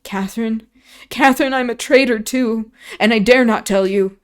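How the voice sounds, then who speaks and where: Terrified weak teen boy, sobbing pleas, high and wavering; a woman voices him. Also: moderate pace, close to an everyday voice. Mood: pleading sobs. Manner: sobbing, pleading.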